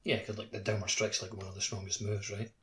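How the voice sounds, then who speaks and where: A man's voice: lowish voice, flat delivery, fast, a bit bright, slightly rough. A man talking casually as a panelist in a podcast discussion.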